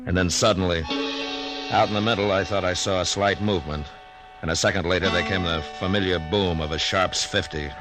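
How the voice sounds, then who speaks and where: Guy doing grim old west marshal, low low voice, slow and measured, music blaring behind. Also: natural voice, low-quality recording. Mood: somber. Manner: calm, measured, commanding. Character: steady frontier marshal, calm, grim. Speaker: narrator and lead. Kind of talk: 1950s radio drama narration. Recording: old radio with loud music bed.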